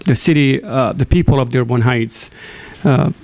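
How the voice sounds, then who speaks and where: A man answers at a candidate forum in a halting way, full of filled pauses. His mid-pitched voice is notably creaky but clear. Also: a little mellow, rough recording. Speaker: city council candidate.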